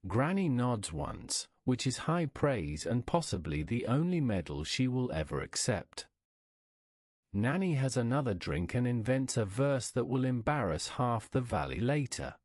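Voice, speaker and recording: A man reading a scripted bedtime story in a measured, wry storytelling manner. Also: medium pitch, sing-song, a little mellow, dry recording, studio quality.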